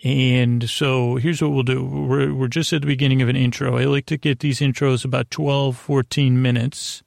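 A man with a creaky, gravelly voice hosting a bedtime podcast in a meandering, halting, lulling monologue that repeats itself and trails off. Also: mid-range voice, level tone, darkish tone, dry recording, studio quality. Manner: lulling, soothing delivery. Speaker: podcast host. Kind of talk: rambling bedtime storytelling monologue.

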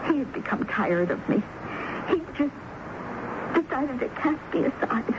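High-voiced, defiant jilted woman. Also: lively intonation, highs cut off. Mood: brooding, anguished. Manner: theatrical, halting, emphatic phrasing. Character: jilted, defiant woman confessing to murder, proud and impassioned. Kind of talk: vintage radio drama acting. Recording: noisy, band-limited old radio broadcast.

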